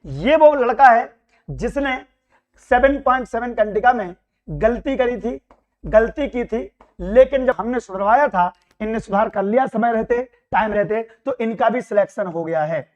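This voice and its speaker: A man who speaks with a Madhya Pradesh Hindi accent and has a hoarse, worn throat, giving students an excited, reassuring result update as their coaching teacher. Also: very high-pitched, a little slow, lo-fi sound. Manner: excited, reassuring, repeats phrases. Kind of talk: casual direct-to-camera update.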